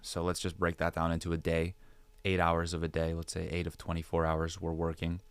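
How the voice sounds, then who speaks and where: A man's voice: low-pitched, completely flat delivery, brisk, smooth, no echo. A man speaking as a podcast guest, giving conversational advice with rhetorical questions and repeated phrases for emphasis. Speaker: podcast guest, digital creator.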